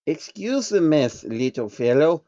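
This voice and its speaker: A man's voice: a little high, sing-song, measured pace, warm tone, dry recording, lo-fi sound. A man playing a sly male baron of a secret society in a breezy, teasing manner. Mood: amused.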